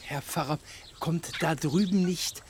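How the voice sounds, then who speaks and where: An actor playing a brusque village barber in a radio play, bossy and quick to correct. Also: male voice, fairly high pitch, slight background noise, close to an everyday voice. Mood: curious. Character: blunt, threatening village barber, male. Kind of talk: German radio play acting.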